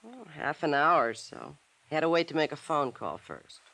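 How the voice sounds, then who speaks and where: A woman's voice: low-pitched, animated, normal speed, vocal fry, a bit bright, slight background noise, heavily acted. An actress in a 1950s radio drama playing a bitter, jealous, tipsy gangster's girlfriend with a brassy, combative manner. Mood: bitter, resigned.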